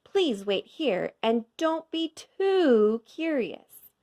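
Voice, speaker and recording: A woman reader plays a caring man looking after his charge in a storybook. The delivery is coaxing and parental, lifted in pitch and slow-paced. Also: big pitch swings, darkish tone, exaggerated voice. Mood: coaxing, kindly. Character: caring grown man in a yellow hat, George's guardian. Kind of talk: storybook read-aloud character voice.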